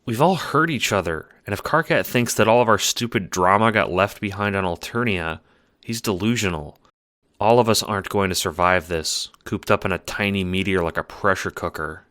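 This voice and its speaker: A man voicing a calm, weary troll girl who died, speaking in a flat, deadpan way in a fan audio drama. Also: low voice, normal speed, no room sound, clean studio sound.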